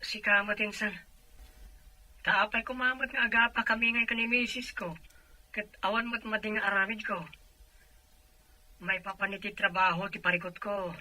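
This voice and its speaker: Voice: mid-range voice, fairly even intonation, moderate pace, pauses often, pushed and bright, poor audio. A performer playing a troubled, earnest working husband and father in an audio family drama. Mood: worried. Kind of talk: dramatized audio story acting.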